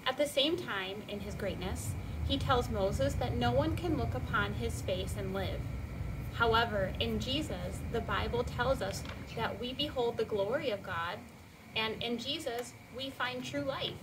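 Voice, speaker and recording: A woman's voice: fairly high pitch, a little slow. A woman in her thirties reading a devotional reflection outdoors, a few metres from the camera. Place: outdoors in front of a garage. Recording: distant mic, steady outdoor noise.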